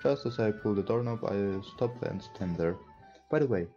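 A young man, not a native English speaker, doing a casual let's play and reading game dialogue aloud in a halting way. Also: fairly low pitch, animated. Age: young adult. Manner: halting, stumbles while reading. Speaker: let's player. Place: indoor room at a computer. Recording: dull lo-fi sound. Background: game music.

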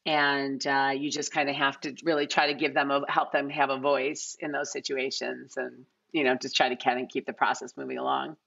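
A woman's voice: a bass voice, little pitch movement, brisk, creaky, few pauses, a bit bright. A middle-aged American woman chatting casually and ramblingly as a guest in an interview over a video call. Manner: rambling, peppered with "like" and "you know". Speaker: casting director, podcast guest. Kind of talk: casual, unscripted interview chat. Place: bright living room at home. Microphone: video call, wearing headphones. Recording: slightly dull, lo-fi video-call audio.

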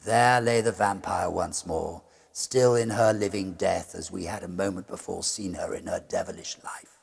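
A middle-aged man with a husky, gravelly voice narrating a gothic ghost story in a measured, suspenseful, theatrical way. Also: low voice, steady tone.

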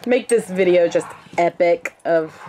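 A young adult woman chatting casually to a handheld camera at arm's length in a small room at home, in a conversational, animated manner. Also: on the low side, measured pace, a little hiss or hum. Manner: animated, conversational, acts out quotes. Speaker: vlogger.

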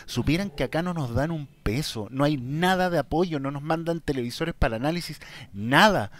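A man with a Chilean accent hosting a casual vlog, talking to viewers in a direct, emphatic and somewhat halting way. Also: on the high side. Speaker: YouTube channel host. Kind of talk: casual vlog talk, answering viewer questions.

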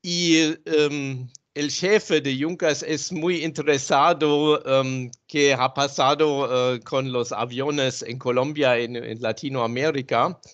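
Slow halting German-accented man. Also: mid-range voice, steady tone, fairly bright tone. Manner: halting, many ums. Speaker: guest speaker. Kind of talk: video call remarks. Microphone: video call. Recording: dull lo-fi call audio.

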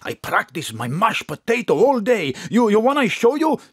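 A man's voice: high voice, big pitch swings, moderate pace, breathy edge, dry recording, clean studio sound, exaggerated voice. Wildly swooping, clownish man. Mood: excited, bashful. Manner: broken English, frequent tag words. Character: excitable, smitten, clownish Greek man with broken English. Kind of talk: comic audio drama acting.

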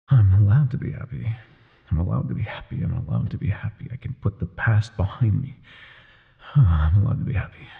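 A man playing an older ex-mafia boyfriend, wry, tender and self-doubting, with a husky, breathy voice in an intimate roleplay audio. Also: fairly low pitch, narrow, tinny audio, exaggerated voice.

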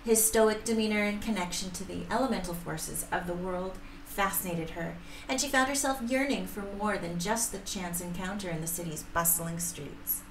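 A middle-aged woman reads a romance story aloud at a careful pace, now and then tripping on a word. The room echoes around her medium-pitched voice, with faint noise behind. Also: moderate pace, few pauses. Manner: careful reading with stumbles. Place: home room, indoors, at a desk. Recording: roomy sound, faint background noise.

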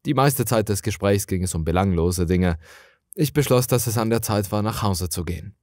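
A man's voice: lowish voice, normal speed, darkish tone, no echo, clean studio sound. A man reading a scripted first-person horror story as a dramatic narrator.

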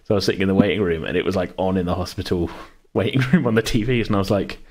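A man's voice: sits low, very fast, darkish tone. A British man hosting a casual chat about a tabletop game, rambling and jokey as he talks. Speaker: podcast host and game master.

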